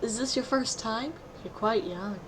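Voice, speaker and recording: A woman voicing a mischievous, excitable, doting boyfriend in a theatrical comedic fan fiction reading. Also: fairly high pitch, unhurried, close to an everyday voice. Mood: casual, tender. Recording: clearly noisy home recording.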